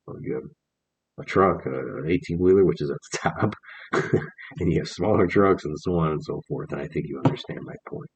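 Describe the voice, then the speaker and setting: A man's voice: very deep voice, a little fast, husky. A man in his forties giving an earnest, rambling talk to camera in a casual vlog, with many fillers and restarts. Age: 40s. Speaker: vlogger. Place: indoors, on camera.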